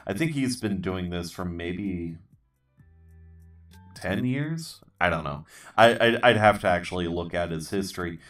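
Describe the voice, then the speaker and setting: A man with a very deep voice talks slowly and haltingly, full of ums and restarts, dropping dry self-deprecating jokes. He sounds a bit far from the mic, with faint music playing under him. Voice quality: voice others call good for voiceover. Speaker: channel host. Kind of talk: casual rambling chat while building.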